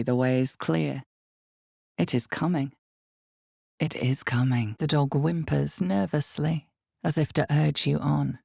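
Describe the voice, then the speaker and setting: A woman playing the ominous, all-knowing narrator of a dark fantasy game, speaking in a hushed, measured, portentous way with a low husky voice. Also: no echo, studio quality, exaggerated voice. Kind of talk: dramatic second-person fantasy game narration.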